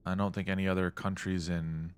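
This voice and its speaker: A man's voice: deep voice, level tone, a little fast, a little hiss or hum. A man streaming a game, chatting casually and rambling as he thinks aloud and answers chat. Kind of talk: casual gameplay commentary.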